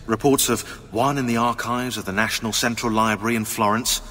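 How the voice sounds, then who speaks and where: A man's voice: mid-range voice, fairly even intonation, fairly quick, bright, forceful tone, long reverb tail, slight background noise, natural voice. A man with a British accent playing a smug, eccentric researcher in a video game, sarcastic and chatty. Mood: intent. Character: sardonic, smug, eccentric British researcher in a secret assassin order. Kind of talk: naturalistic video game acting.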